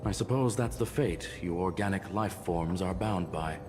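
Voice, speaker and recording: A man voicing a polite, formal robot scholar in a video game, speaking in a measured way. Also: low voice, natural voice. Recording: game dialogue over music.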